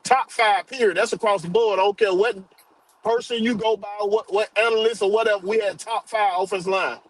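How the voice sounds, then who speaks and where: A man arguing sports in a forceful, profane, casual way. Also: high-pitched, steady tone, a little fast, rough recording.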